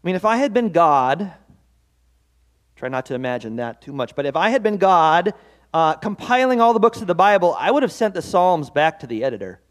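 A man's voice: sits high, big pitch swings, fairly quick. A man preaching a devotional sermon as pastor, earnest and conversational, with self-deprecating asides. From Norwegian-American heritage.

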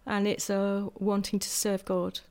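A woman speaking earnestly on a church panel, measured, pausing often with fillers. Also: mid-range voice, completely flat delivery, darkish tone, clear, resonant tone. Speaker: church speaker who prophesies.

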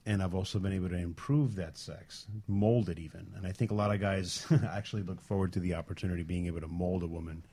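A man's voice: deep voice, steady tone, fast, few pauses, warm tone, slightly rough. A man chatting casually on a talk show panel, with a wry way of talking. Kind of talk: casual chat.

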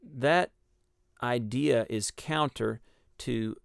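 A man with a Texas accent explaining a Bible passage in a measured, teaching way on a radio discussion. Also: mid-range voice, gravelly, dry recording, clean studio sound. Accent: Texan. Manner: measured, explanatory, with frequent ums and uhs.

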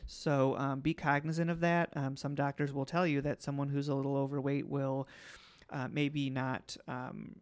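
A man hosting a podcast, slightly hoarse today, explaining things casually with many ums. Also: mid-range voice, level tone, normal speed. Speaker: podcast host.

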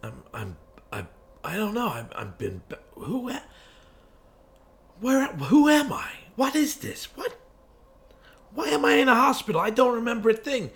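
A man's voice: sing-song, unhurried, pauses often, a bit bright, exaggerated voice. A man playing a confused, suspicious amnesiac hero in an improvised film noir audio play, hard-boiled and prone to stammering. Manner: agitated stammering in dialogue, low brooding asides. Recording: clearly noisy background.

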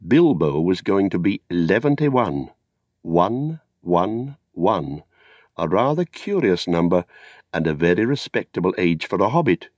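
An older British man narrating a literary story in a measured, theatrical way. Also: sits low, big pitch swings, darkish tone, dry recording, clean studio sound. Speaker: audiobook narrator.

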